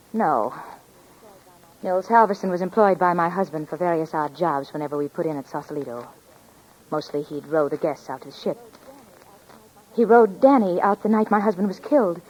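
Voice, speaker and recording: An actress playing a widowed femme fatale in a 1940s radio drama, halting, guarded and repeating her words. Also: lowish voice, animated, darkish tone. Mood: guarded, confessing. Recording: muffled 1940s radio recording.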